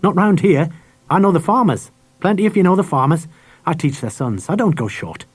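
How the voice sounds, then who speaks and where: A man's voice: fairly high pitch, expressive, fairly quick, husky, pitched above the performer's own voice. A man voicing a kindly, nervous, grey-haired schoolteacher in clipped, abrupt, repetitive phrases for a storybook. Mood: brisk, hospitable. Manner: clipped, abrupt short phrases, repeats himself.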